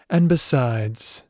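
A man's voice: medium pitch, dry recording, clean studio sound. A man playing a doting, theatrical necromancer husband in a romantic ASMR audio drama, teasing and formal in his diction. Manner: teasing, slow, formal old-fashioned diction. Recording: soft instrumental music bed behind voice.

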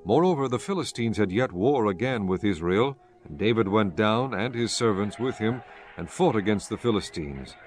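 A man narrating scripture from a script in a solemn, measured, formal manner. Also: on the low side, lively intonation, faint music behind.

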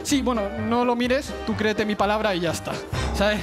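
A man's voice: sits high, steady tone, normal speed. Young man, twenties, Valencian Spanish accent, confident stage patter, headset mic, music behind. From Spain. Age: 20s. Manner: confident, smooth, addresses judges directly. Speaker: talent show contestant. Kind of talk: stage patter while performing mentalism. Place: large television studio stage. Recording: broadcast audio with clear music behind.

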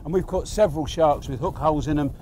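A man's voice: fairly high pitch, quick pace, mellow, slight background noise. An older man with an English accent hosting a fishing show, chatting casually to camera from a boat out at sea. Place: outdoors on a small boat at sea.

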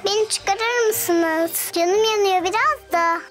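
A performer playing a polite, gentle little girl in a children's cartoon story. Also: very high-pitched, slow pace. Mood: pleading.

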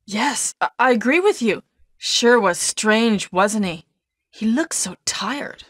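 An earnest young policewoman from an old video game. Her voice sits a bit high and sounds dry, and her line readings are stilted. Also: expressive, takes it slowly, a bit bright, no echo, exaggerated voice. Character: earnest capable young female special-police officer.

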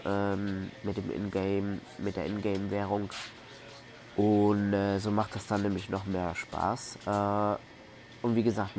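A man's voice: fairly low pitch, darkish tone, a little hiss or hum. A man casually commentating a video game as host, rambling colloquially and often repeating himself. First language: German. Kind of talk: casual let's play commentary.

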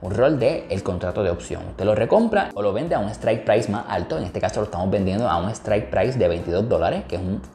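A man's voice: lowish voice, lively intonation, brisk, hardly any pauses, warm tone, slight background noise. A man with a Puerto Rican Spanish accent teaching a tutorial on investing, explaining step by step and often checking in with "ok?". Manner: explanatory, repeats "¿ok?" to check understanding. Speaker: investing educator. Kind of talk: screen-recorded tutorial explaining options. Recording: echoey room with faint music.

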